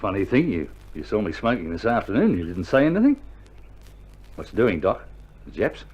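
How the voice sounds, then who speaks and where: A wounded soldier in an old radio play, restless and uneasy. His low, husky voice moves expressively as he questions from his sickbed. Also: sing-song, moderate pace, darkish tone, highs cut off. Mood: anxious. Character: anxious, restless wounded soldier, male patient in bed. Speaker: actor in a radio war drama. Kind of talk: 1960s radio drama acting.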